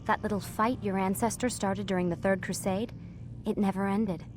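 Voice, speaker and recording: An actress playing an earnest young female lab assistant who explains things in a hushed, guarded way. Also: medium pitch, steady tone, moderate pace, lightly acted. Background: game score music.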